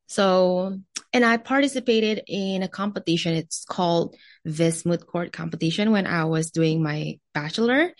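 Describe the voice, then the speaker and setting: Young female podcast guest, Indonesian accent, lowish voice, casual conversational delivery, dry close sound. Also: dry recording. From Jakarta, Indonesia. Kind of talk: casual interview chat, telling personal background.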